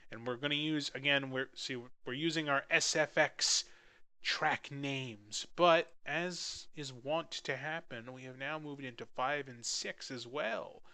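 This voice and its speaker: A young man with a bright, crisp voice at a middling pitch talks casually through an editing tutorial. He is offhand and self-deprecating, recorded close on the mic as a voice-over. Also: measured pace. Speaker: audio drama editor giving a tutorial.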